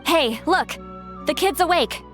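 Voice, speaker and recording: A woman's voice: high voice, lively intonation, a little slow, fairly bright tone, faint music behind. A woman voicing a spirited, friendly young villager in an anime game. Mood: encouraging.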